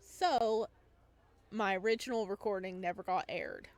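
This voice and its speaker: A woman hosting a let's play stream at an unhurried pace. She is playful and giggly, reading story text aloud and adding her own commentary in a mid-pitched voice. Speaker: gaming streamer, VTuber.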